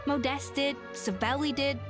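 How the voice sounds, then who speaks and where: A woman playing a confident, blunt, teasing master chef in a video game, with a brisk, emphatic, scolding manner. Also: high voice, fairly even intonation, music in the background, close to an everyday voice. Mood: exasperated. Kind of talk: dramatic video game voice acting.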